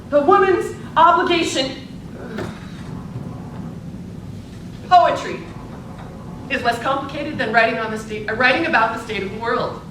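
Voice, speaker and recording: Lady playing an old suffragist woman of 86 on stage, highish voice, earnest, deliberate, noisy echoey room. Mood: impassioned, oratorical. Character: eighty-six-year-old Montana suffragist woman, grateful, principled, reminiscing. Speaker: actor in a theatre presentation. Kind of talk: theatrical interview and monologue, live stage performance. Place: indoor presentation space at a public library. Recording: echoey, distant, noisy library event recording.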